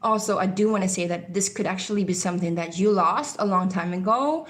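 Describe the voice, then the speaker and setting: A woman's voice: medium pitch, a little fast, roomy sound. A woman with a Swedish accent gives a casual tarot reading. She meanders through her intuitions and repeats key phrases. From Sweden. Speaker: tarot reader.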